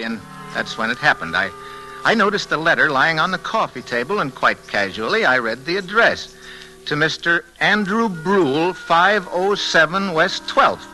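An actor playing a breezy, chatty young husband who narrates in an old-time radio comedy mystery. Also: male voice, fairly high pitch, natural voice, rough recording. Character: breezy, talkative young husband, amateur-sleuth mystery novelist. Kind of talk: old-time radio acting, conversational first-person narration. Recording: vintage radio recording, music behind.

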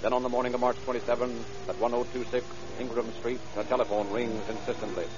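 An actor narrating a police case in a grave, dramatic old-time radio style. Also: male voice, mid-range voice, little pitch movement, fast talker, lightly acted. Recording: dull old radio recording, loud music.

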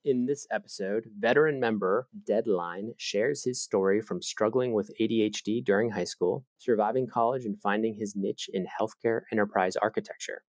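A man's voice: lowish voice, darkish tone, dry recording. A man hosting a podcast, reading an upbeat intro and asking short questions. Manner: upbeat, promotional in intro, brief prompts. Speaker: podcast host. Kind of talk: interview hosting and scripted intro.

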